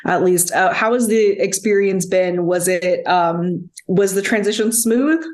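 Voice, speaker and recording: A woman's voice: lowish voice, unhurried, a little mellow. A young woman interviewing, asking questions casually with hesitant fillers.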